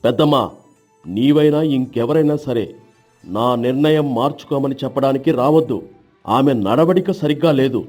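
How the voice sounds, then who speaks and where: A stern village husband acted by a man in a storybook drama, with a mid-pitched voice. He speaks curtly and commands like someone who will not bend, with music behind him. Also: normal speed, darkish tone, close to an everyday voice. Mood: stern anger. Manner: curt, hard, commanding. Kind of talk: storybook character dialogue.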